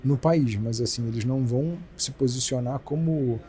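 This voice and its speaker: A Brazilian man, a company president, explaining fast and animatedly with many restarts in a casual business interview. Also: mid-range voice, dark tone, a little hiss or hum. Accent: Brazilian Portuguese.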